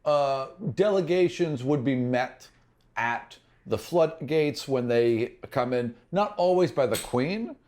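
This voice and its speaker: A man's voice: mid-range voice, expressive, takes it slowly, darkish tone. Conversational man narrating a tabletop fantasy game. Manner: conversational, descriptive, thinking aloud. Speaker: game master.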